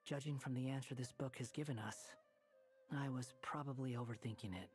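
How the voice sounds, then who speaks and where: A woman's voice: extremely low pitch, fairly quick, darkish tone, faint music behind. A woman voicing an aloof, sardonic young male wanderer in a video game, cool, dry and dismissive. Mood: guarded, reflective.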